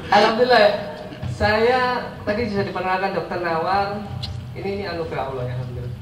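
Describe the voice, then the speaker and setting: A man's voice: high-pitched, fast, over music. Nasal Indonesian man speaking in echoey room. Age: 40s. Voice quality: nasal, stuffy voice. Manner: jokey, self-deprecating asides, addresses listeners as friends. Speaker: guest motivational speaker. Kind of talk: informal public talk. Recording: echoey room, far mic, clear background noise.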